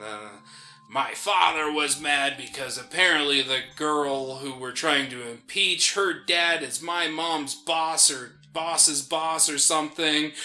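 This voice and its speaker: American guy gaming co-host, really bright forceful voice on the higher side, animated storyteller, casual banter. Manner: animated storyteller who acts out quoted reactions. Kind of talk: casual banter while playing a video game.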